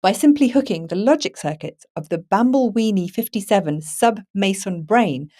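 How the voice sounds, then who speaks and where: A woman's voice: mid-range voice, expressive, moderate pace, darkish tone. A woman hosting a podcast, talking casually and with enthusiasm. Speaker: podcast host. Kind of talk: casual discussion with passages read aloud.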